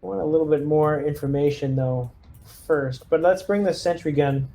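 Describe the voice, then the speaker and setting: A man playing a tabletop roleplaying game, casually directing his squad and thinking aloud in a deliberate way. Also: on the high side, dark tone. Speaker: player leading the squad.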